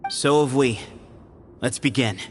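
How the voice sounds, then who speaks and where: An actor playing a confident young temple duelist in a video game, speaking with cool self-assurance. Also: male voice, medium pitch, a little slow, soft background music, lightly acted. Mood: cool, challenging.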